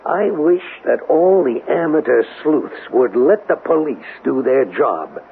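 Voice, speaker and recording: Exasperated, gravelly police lieutenant, old radio. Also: male voice, mid-range voice, animated, unhurried. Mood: scolding. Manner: scolding, emphatic. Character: exasperated, world-weary male police lieutenant. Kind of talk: 1970s radio drama acting. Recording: thin, phone-like vintage radio with faint hiss.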